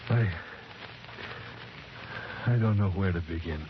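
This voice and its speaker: An actor playing a troubled, wounded young explorer who talks in a tense, halting, pleading way in a 1940s radio drama. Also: male voice, low-pitched. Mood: tormented. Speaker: character in a vintage radio drama. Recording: muffled, hissy vintage radio recording.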